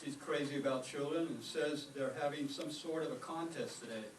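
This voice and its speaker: A man's voice: on the high side, a little fast, lightly acted. A man playing a mild, earnest teacher in a radio comedy. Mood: mild, awkward. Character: mild, earnest, oblivious male teacher, Mister Boynton. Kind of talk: old-time radio comedy acting. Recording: echoey room, distant mic, clear noise.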